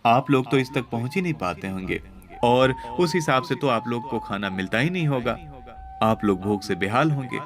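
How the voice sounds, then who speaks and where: A man's voice: medium pitch, animated, normal speed, close to an everyday voice. A man voicing a kindly, curious elderly woman who asks polite questions in a moral tale. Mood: puzzled. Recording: background music under voice.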